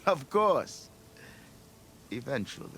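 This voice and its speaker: An actor playing a blustering, scheming expedition leader in a video game, with grandiose storytelling. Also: male voice, mid-range voice, sing-song, slow pace, a bit bright, a little hiss or hum, full character voice. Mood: dramatic, boastful. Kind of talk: video game cutscene acting.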